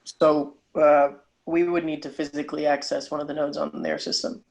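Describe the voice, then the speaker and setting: A man playing a low-key young hacker in a screenplay table read, hesitant and understated. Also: level tone, moderate pace, gravelly, darkish tone, rough recording. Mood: hesitant, dry. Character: low-key young hacker friend named Jake, male.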